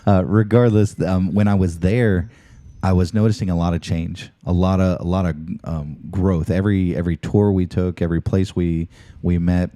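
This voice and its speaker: A man's voice: low voice, steady tone, moderate pace, warm tone, no room sound, clean studio sound. A man hosting a talk show, chatting casually with his guest in an interview.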